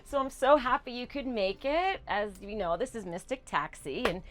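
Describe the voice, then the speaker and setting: A woman's voice: on the high side, animated, normal speed, faint music behind. A woman speaking as a psychic reader on an unscripted TV show. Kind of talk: unscripted psychic reading and interview.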